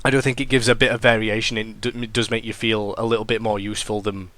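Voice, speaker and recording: Sniffly young gaming commentator. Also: male voice, fairly low pitch, fast. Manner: rambling, self-correcting, excitable reactions. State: congested, sniffly. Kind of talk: casual gameplay commentary.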